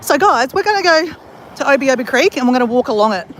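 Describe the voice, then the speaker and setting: A woman's voice: high-pitched, expressive, fast talker, fairly bright tone, a little hiss or hum, rough recording. An Australian woman chatting to her viewers while she walks around outdoors in a country town and along a creek. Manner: chatty, talks straight to viewers as "guys". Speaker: vlogger. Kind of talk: casual walking vlog.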